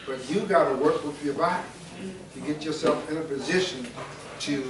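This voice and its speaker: A man's voice: a little high, normal speed, a little hiss or hum. An older man giving an informal, joking health talk to a group, folksy and prone to repeating himself. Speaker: wellness speaker. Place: indoor room with an audience. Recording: roomy, from a distance, with a crowd.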